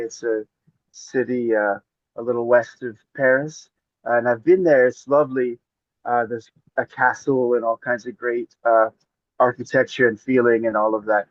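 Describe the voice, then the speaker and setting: A man from northern Maine answers interview questions in a measured, hesitant way, pausing often. His voice sits at a middle pitch. It comes through dull, dry video-call audio. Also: steady tone, no echo. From northern Maine, United States. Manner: measured, hesitant, with many pauses. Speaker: poet guest.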